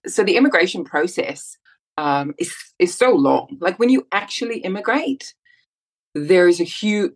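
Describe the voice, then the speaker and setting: A woman, a podcast guest telling her life story in a reflective, lively way. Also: medium pitch, breathy edge. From South Africa. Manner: reflective, animated when retelling scenes. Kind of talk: casual interview storytelling.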